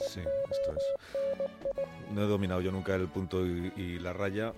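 A man with a deep voice hosts a radio show, narrating in a storyteller's way. He repeats words for emphasis and poses rhetorical questions, with music underneath. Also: fairly even intonation, measured pace. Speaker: radio presenter. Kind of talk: live storytelling monologue on morning radio. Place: radio broadcast. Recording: music bed behind voice.

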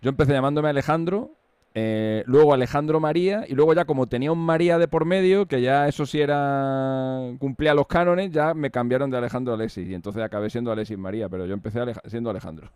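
A man's voice: mid-range voice, quick pace, darkish tone, smooth, dry recording. A middle-aged man with an accent from Spain, chatting casually on live sports radio as a football commentator, in an anecdotal way. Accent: Spanish (Spain). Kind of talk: casual live sports talk, match preview.

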